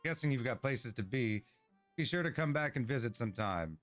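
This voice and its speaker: A man's voice: mid-range voice, animated, brisk, a bit bright, natural voice. Guy doing a proud eager young dwarf tinkerer from a game, kinda awkward, music behind. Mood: excited, proud. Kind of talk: storybook-like game dialogue read aloud.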